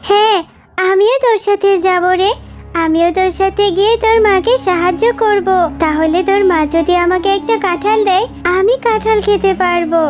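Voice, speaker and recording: A woman's voice: extremely high pitch, normal speed. A woman voicing an eager little girl fox cub in a cartoon, with a childlike, wheedling way of talking. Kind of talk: cartoon voice acting.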